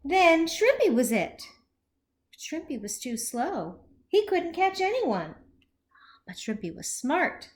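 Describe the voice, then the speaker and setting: A woman with a high voice reads a picture book to young children. Her delivery is very slow and deliberate, and her melody swings widely as she tells the story expressively. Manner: animated, slow, deliberate, with questions to young listeners.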